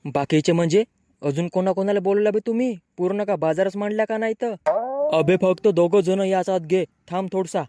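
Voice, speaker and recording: A man's voice: sits high, a little fast, mellow, natural voice. A man voicing a brash, grumbling young village man with a rural Marathi accent, bickering in slang in a comic cartoon. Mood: grumbling banter.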